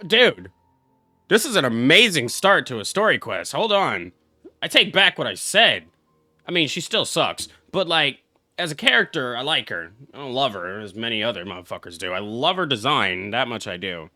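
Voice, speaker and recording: Brash, animated young man, bright voice. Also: medium pitch, normal speed, no echo. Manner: brash, heavily profane, exclamatory. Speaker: game streamer. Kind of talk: casual live reaction commentary. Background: game music.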